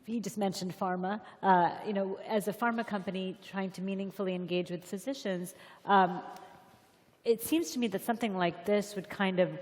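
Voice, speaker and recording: A woman's voice: mid-range voice, steady tone, normal speed, a little mellow. A woman moderating a conference panel in a conversational, somewhat hesitant way. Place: conference hall stage. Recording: reverberant hall, faint audience noise.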